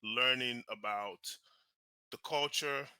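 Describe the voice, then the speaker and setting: A man from Nigeria, a guest on an interview show, telling his life story in a lively, casual way with many fillers and restarts. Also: mid-range voice, slow pace, smooth, no room sound. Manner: animated, frequent fillers and restarts. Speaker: guest, real estate investor and author.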